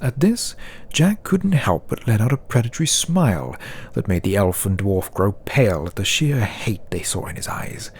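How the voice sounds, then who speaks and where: A man's voice: fairly low pitch, big pitch swings, moderate pace, gravelly, a little hiss or hum. A man narrating a scripted fantasy audiobook as a dramatic storyteller.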